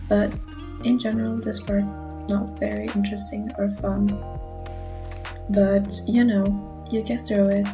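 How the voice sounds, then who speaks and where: Nervous mumbling young woman, gravelly voice. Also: medium pitch, unhurried, pauses often. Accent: non-native English. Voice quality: monotone. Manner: nervous, mumbling, laughs often. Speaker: art channel creator. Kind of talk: casual voiceover narrating a drawing. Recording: music playing underneath.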